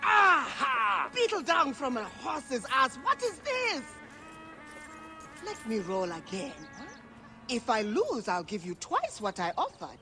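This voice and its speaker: An actor playing a brash, sardonic gambler of ancient Egypt in a game cutscene, with a cocky, salty, theatrical manner. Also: male voice, extremely high pitch, huge pitch swings, takes it slowly, long pauses, over music, exaggerated voice. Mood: boisterous. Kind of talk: video game cutscene acting.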